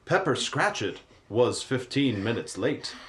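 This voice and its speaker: A man narrating a radio play like a storybook, with a dramatic, deliberate delivery. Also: mid-range voice, big pitch swings.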